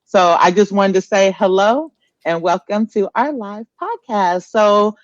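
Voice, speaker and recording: A woman's voice: mid-range voice, unhurried, dry recording, lo-fi sound. A woman with an American accent hosting a casual podcast in an informal, conversational way, often repeating herself. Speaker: podcast host. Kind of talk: casual live podcast chat.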